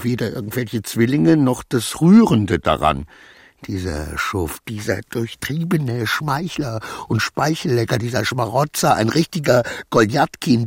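Agitated, husky, indignant petty clerk. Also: male voice, mid-range voice, dry recording, clean studio sound. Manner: stiffly formal protests, agitated self-justifying muttering. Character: wronged, anxious, indignant petty government clerk, fretting to himself. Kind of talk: German radio play acting.

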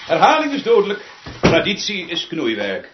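An actor playing an eccentric, world-weary, self-important conductor in a radio play, rambling theatrically and repeating himself. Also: male voice, high-pitched, wildly swooping pitch, moderate pace. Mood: agitated. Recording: dull, noisy radio drama recording with clicks.

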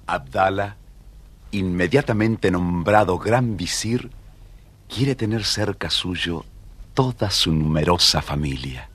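A man's voice: low voice, slow pace, background noise. A man with an Argentine accent giving scripted narration of a children's story.

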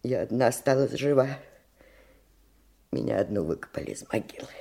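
An actress playing an embittered older woman, a war survivor, in a radio drama, speaking in an anguished, halting way. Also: a bass voice, lively intonation, gravelly, warm tone, heavily acted. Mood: bitter, grave. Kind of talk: Russian radio drama acting.

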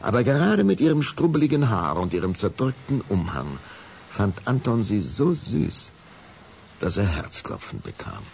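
Low, gravelly male storyteller. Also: normal speed, a little hiss or hum. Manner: expressive, dramatic storytelling. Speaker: narrator. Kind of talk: scripted children's story narration.

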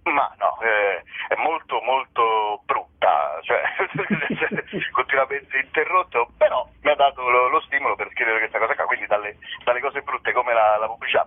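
Wry man on a phone line. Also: medium pitch. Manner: hesitant, wry, rambling. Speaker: theatre comedian and writer presenting a show. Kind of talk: casual promotional interview. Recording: narrow-band telephone line, radio interview.

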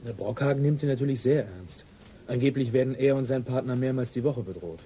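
An actor playing a cheeky, self-assured junior police detective in a radio drama, bantering and laconic. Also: male voice, mid-range voice, fairly quick, dark tone, a little hiss or hum, close to an everyday voice. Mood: matter-of-fact. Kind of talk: naturalistic radio drama dialogue.

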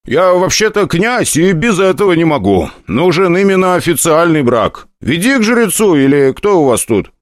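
A man voicing a fastidious young prince who speaks in a prim, insistent way. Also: fairly high pitch, sing-song, no echo, clean studio sound, voice pushed up. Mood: prim insistence.

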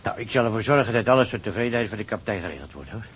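A man acting in a Dutch radio drama as an eager, deferential servant, hurried and hesitant. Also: fairly low pitch, animated, lightly acted. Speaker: actor. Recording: noisy old radio recording, ambience.

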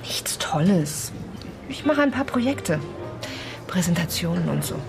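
An ambitious young woman facing an old lover, guarded and cool. Her delivery is naturalistic radio drama, a little defensive. Music sits clearly under the voice. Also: fairly low pitch, big pitch swings, a little slow, full character voice. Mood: cool, needling.

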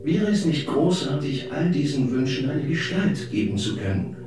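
Echoing, earnest visionary. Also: male voice, a little high, sing-song, moderate pace, background noise. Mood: visionary, measured. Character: idealistic visionary male founder presenting his utopian project. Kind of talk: radio drama monologue, speech-like delivery. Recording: very echoey room, music underneath.

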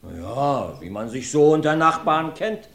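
A man's voice: mid-range voice, big pitch swings, a little slow, vocal fry, a bit bright, heavily acted. An actor playing a talkative rustic farmer who rambles through his testimony in a radio play. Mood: folksy. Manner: folksy, rambling storyteller. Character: rustic male farmer, chatty court witness. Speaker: witness in a courtroom. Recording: roomy studio.

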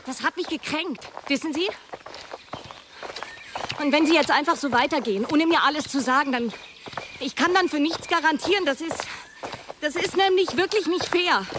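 An actress playing a hurt, indignant woman in a radio drama, insistent and halting as she confronts someone. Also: high voice, background noise, natural voice.

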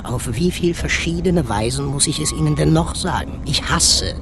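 A man's voice: fairly high pitch, expressive, normal speed, creaky, noisy background. An actor playing a misanthropic artificial intelligence villain in male guise, condescending and sardonic, in a radio drama. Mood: contemptuous.

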